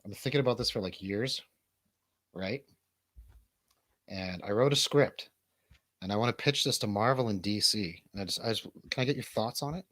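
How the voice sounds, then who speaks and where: Male host, fairly low register, dry sound; irreverent, loose comedic chat with profanity. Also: normal speed, pauses often, a bit bright, dry recording. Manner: irreverent, sweary, rambling. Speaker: radio show host on a livestream.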